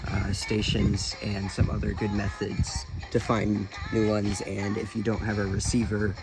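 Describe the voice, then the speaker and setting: A man giving a casual, informal product demonstration as a video host, speaking in a somewhat hesitant, halting way. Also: fairly low pitch, completely flat delivery, creaky, music in the background, rough recording. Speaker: video host, radio hobbyist.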